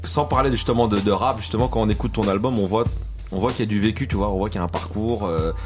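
A man's voice: lowish voice, fast talker. A man hosting a radio interview in casual, slangy, teasing conversation from a studio. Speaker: radio show host. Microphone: studio microphone with headphones. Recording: loud music under the voice.

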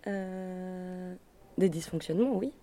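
A woman's voice: fairly low pitch, takes it slowly, dark tone. A woman chatting casually as a guest in a group interview.

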